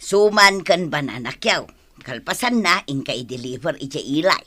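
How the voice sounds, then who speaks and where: A woman's voice: low-pitched, animated, normal speed, bright, forceful tone, full character voice. A woman acting a bossy, practical wife with a small food business in an Ilocano radio comedy drama. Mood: brisk, insistent.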